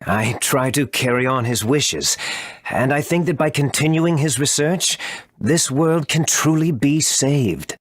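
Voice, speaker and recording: A man's voice: medium pitch, a little slow, dry recording, studio quality, natural voice. A man playing an apologetic, earnest scientist in a video game, speaking formally as he explains things. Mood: earnest, remorseful. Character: apologetic, earnest male scientist, grandson of a villain. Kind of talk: English video game voice acting.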